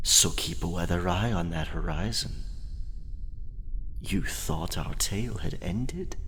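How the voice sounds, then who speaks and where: Casting: male actor for a menacing, obsessive pirate lover, ASMR roleplay; low breathy whisper, very slow and theatrical. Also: a bit bright, full character voice. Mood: smouldering, coaxing. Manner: slow, theatrical, alternating whispers with sudden swells.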